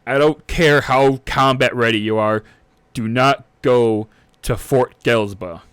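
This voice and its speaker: Nervous rookie militia captain, played by a male game master, hesitant, slow-paced, unsure of himself. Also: mid-range voice, fairly bright tone, clean tone, dry recording. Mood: anxious warning. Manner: halting, stammering, hesitant. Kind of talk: improvised in-character roleplay.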